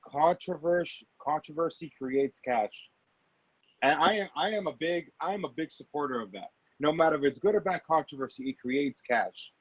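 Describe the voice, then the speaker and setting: A man being interviewed as an actor, chatting casually and haltingly, often repeating phrases for emphasis. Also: on the high side, lively intonation, no room sound. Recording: dull, muffled lo-fi audio.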